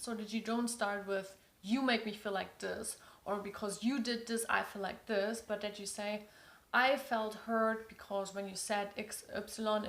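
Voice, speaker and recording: A woman's voice: medium pitch, a bit bright, echoey room. A woman with a German accent, a non-native English speaker, giving earnest relationship advice and repeating words for emphasis. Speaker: relationship coach. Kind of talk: advice talk to camera.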